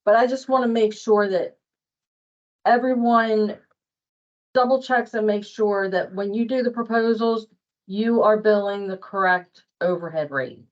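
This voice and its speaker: A woman's voice: medium pitch, measured pace, lo-fi sound. A woman giving an informal spoken explanation as presenter at a staff training.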